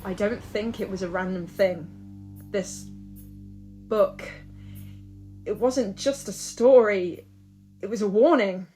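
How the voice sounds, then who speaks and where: An actress playing a frightened, guilt-ridden young woman in an audio drama, speaking in halting, broken-off phrases. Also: mid-range voice, mellow, natural voice, rough recording. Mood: subdued, remorseful. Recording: music behind.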